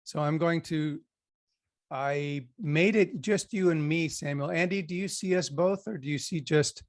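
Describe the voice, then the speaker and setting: A man's voice: on the high side, unhurried. A man hosting an interview on a video call, guiding the conversation and greeting the people who join. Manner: friendly, guiding and organizing the call. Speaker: interview host.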